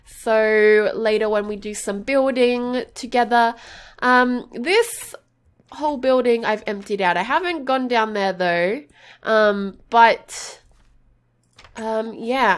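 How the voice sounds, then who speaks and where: A woman's voice: fairly high pitch, slow pace, clean tone. A woman hosting a gaming channel, chatting bubbly and casually through her gameplay while sniffly with a cold, with many ums and little gasps.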